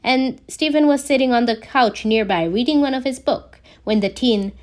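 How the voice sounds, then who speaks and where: A woman reading a scripted story aloud as a narrator, in an expressive storytelling way. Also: a little high, moderate pace. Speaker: podfic narrator reading fan fiction.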